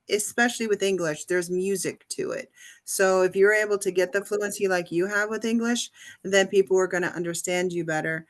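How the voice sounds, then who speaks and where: A woman English teacher hosting a casual interview, explaining in a relaxed way with many pauses and ums. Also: medium pitch.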